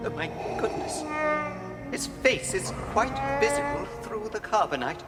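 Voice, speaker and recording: An actor playing a fussy, anxious, polite protocol robot in a radio drama, with a prissy, well-mannered delivery. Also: male voice, sits high, big pitch swings, a little slow. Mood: fretful. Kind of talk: space opera radio drama acting. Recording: cavernous reverb, loud music behind.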